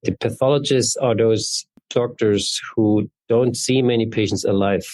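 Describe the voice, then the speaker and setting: A man's voice: on the low side, fairly even intonation, a little slow, a little mellow, no echo. A man in his fifties with a German accent, giving conversational answers as an interview guest. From East Berlin, Germany. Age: 50s. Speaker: interview guest, neurologist and genetics researcher.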